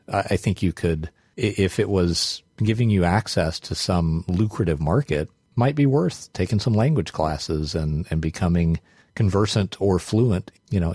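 A man's voice: sits low, warm tone, breathy edge, dry recording, studio quality. A man co-hosting a podcast in casual conversation, reflective and thinking aloud as he works through ideas. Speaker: podcast co-host, consultant.

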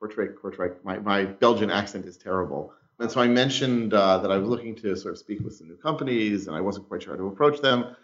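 Low-voiced man, self-deprecating podcast chat. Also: a little fast, hardly any pauses, highs cut off. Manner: self-deprecating, thoughtful storytelling. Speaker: podcast co-host.

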